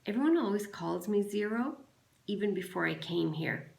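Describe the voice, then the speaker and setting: A woman reading the part of an earnest, quiet young boy in a storybook, plain and halting. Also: medium pitch, expressive, creaky. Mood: earnest, confiding. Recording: roomy, echoing sound.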